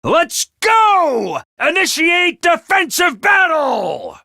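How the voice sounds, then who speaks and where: An actor playing a theatrical, scheming schoolmaster who barks cheerful orders at troops and then drops to low, calm menace. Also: male voice, very slow, vocal fry, noisy background, heavily acted. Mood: shouting cheerfully. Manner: booming, swings between shouted cheer and low menace. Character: manic theatrical male drill-sergeant schoolmaster, scheming. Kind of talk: video game voice lines.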